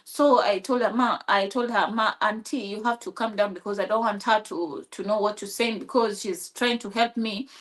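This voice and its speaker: A woman from Kenya with a Kenyan accent and Swahili as her first language, telling her story as an interview guest, halting with many restarts and acting out quoted dialogue. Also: mid-range voice, fairly even intonation. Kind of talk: personal storytelling in conversation.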